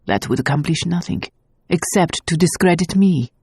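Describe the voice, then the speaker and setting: A man's voice: a little high, moderate pace, a little mellow, husky, no room sound. A man reading an audiobook, voicing a proud, bitter nobleman who speaks in a cool, cutting way. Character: Nicholas, a proud, bitter Russian prince.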